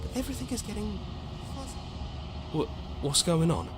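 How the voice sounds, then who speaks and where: A man's voice: high-pitched, big pitch swings, normal speed. A man voicing an anxious, bewildered disembodied voice in a horror game, panicky, fretful and easily indignant. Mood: anxious, confused. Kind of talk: visual novel voice acting.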